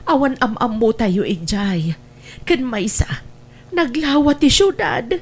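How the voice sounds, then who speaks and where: A woman's voice: on the high side, animated, slow pace, breathy edge, exaggerated voice. A woman playing a worried, lamenting older provincial mother in a family radio drama. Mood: anxious. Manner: anxious, lamenting.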